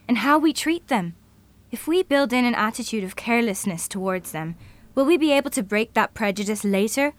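A woman's voice: on the high side, no echo, natural voice. A woman playing a thoughtful, idealistic futurist in an audio drama, speaking in a reflective, earnest manner.

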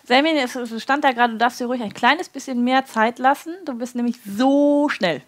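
Woman late 30s, chatty sewing stream host, slightly high voice, laughs a lot. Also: brisk. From Germany. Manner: chatty, laughs often, many fillers. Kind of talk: live-stream sewing chat.

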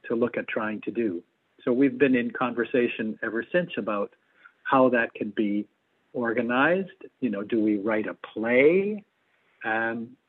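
A man gives interview answers over a narrow, phone-like connection. His voice is husky and mid-pitched, and he feels his way thoughtfully into elaborate, articulate phrases. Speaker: theatrical adapter, interview guest. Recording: muffled, thin phone-like line.

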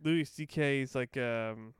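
A Canadian man co-hosting a podcast, talking in a rambling, profane, animated way. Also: mid-range voice, a little slow, clean tone, no echo, studio quality. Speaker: podcast co-host. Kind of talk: casual chat.

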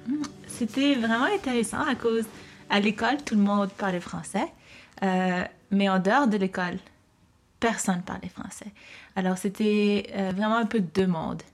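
A woman's voice: mid-range voice, normal speed, soft background music. A young woman from Mexico, chatting easily and laughing as she talks about growing up. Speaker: interviewee.

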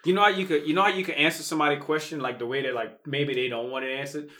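A man's voice: a little high, steady tone, racing, pushed and bright. A man from Louisiana in a casual podcast discussion, explaining his thoughts loosely with lots of "like". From Louisiana, United States.

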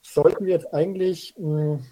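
Radio play chief detective, played by a man: husky, hesitant, stammering know-it-all, flustered under pressure. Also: fairly high pitch, fairly even intonation, moderate pace, mellow, lightly acted, rough recording. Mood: flustered, unsure. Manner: hesitant, stammering, fillers everywhere. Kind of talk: amateur youth radio play acting.

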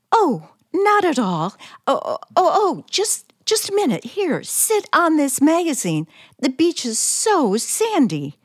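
A woman playing a shy, naive, romantic forty-year-old spinster in a radio play, excitable and easily flustered. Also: high-pitched, takes it slowly, no room sound, studio quality, exaggerated voice. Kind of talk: radio play acting, diary narration and dialogue.

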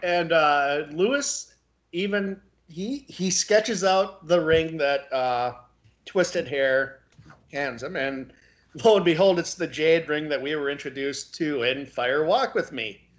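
Halting man co-hosting a podcast, dull audio. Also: fairly high pitch. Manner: halting, searching for words. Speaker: podcast co-host. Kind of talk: casual discussion of a book.

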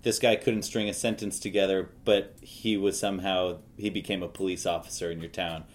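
A man's voice: deep voice, flat delivery, fairly quick. A man hosting a podcast, chatting casually as he interviews a guest.

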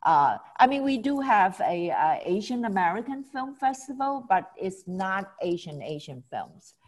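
A woman's voice: mid-range voice, sing-song, unhurried. A middle-aged woman from Hong Kong, guest in a casual interview, talking with enthusiasm, many restarts and small laughs. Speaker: film festival founder, guest.

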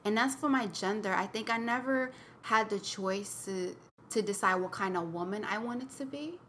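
A woman's voice: mid-range voice, normal speed, a bit bright, slight background noise. A young woman co-hosting a podcast, sharing her own story in a candid, reflective way, with lots of fillers and "like". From Connecticut, US. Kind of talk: personal storytelling in a casual chat.

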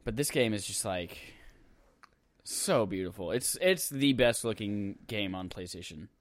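A man's voice: lowish voice, animated, a little slow. A young man co-hosting a casual video game podcast, teasing his partner and swearing freely. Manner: teasing, wisecracking, profane. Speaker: podcast co-host. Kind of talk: casual chat about video games.

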